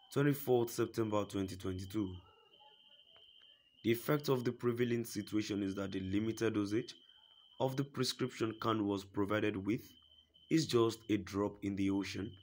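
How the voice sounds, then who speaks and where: Male news reader, low-ish voice, steady formal delivery. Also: normal speed. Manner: steady formal reading, quotes a statement at length. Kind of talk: read-aloud news report.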